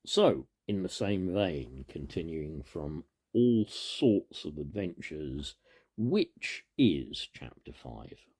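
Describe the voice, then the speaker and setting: Deep gravelly man reading a children's story. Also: animated, slow pace, darkish tone. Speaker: storybook reader. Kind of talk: children's story read aloud with informal asides.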